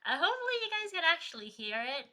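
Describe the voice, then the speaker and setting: A woman's voice: extremely high pitch, big pitch swings, fast talker, sharp tone. A woman chatting casually on a live stream, laughing often and reading viewer messages aloud, with a trained, projecting singing voice. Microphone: streaming desk mic.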